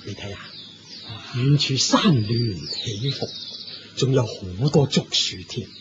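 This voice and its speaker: A man playing an amiable older Indian villager in a radio drama, chatty, folksy and expressive. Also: medium pitch, slow pace. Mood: admiring, relaxed. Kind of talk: Cantonese radio drama acting. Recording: dull, noisy old radio recording.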